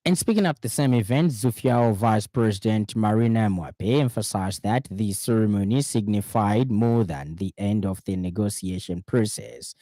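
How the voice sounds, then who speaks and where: Zambian male radio news presenter, formal read, low relaxed voice, dry studio sound. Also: no echo. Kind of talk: scripted news bulletin reading.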